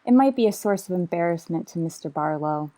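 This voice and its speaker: Wry, animated schoolteacher played by an actress. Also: female voice, fairly low pitch, brisk, lightly acted. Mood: brisk, wry. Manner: dry, sarcastic wisecracks. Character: wry, sardonic woman high school English teacher. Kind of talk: old-time radio sitcom acting and narration.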